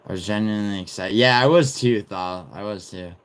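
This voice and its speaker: A man's voice: fairly low pitch, fairly quick, smooth. A young man hosting a casual livestream, rambling, swearing and reading viewer chat aloud. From born in Italy, raised in New York City. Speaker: livestream host.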